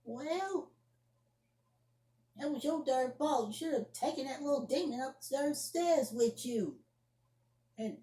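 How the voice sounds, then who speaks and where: A woman acting an indignant young woman in a solo amateur monologue, scolding and defending herself emphatically with repetitions and halting restarts. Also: on the high side, mellow. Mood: scolding, accusing. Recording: echoey room.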